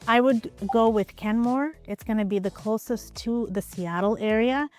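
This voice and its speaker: A woman's voice: a little high, normal speed. Easygoing woman from Ukraine, faint music. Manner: relaxed, conversational, with frequent hedges. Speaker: real estate agent. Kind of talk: answering interview questions.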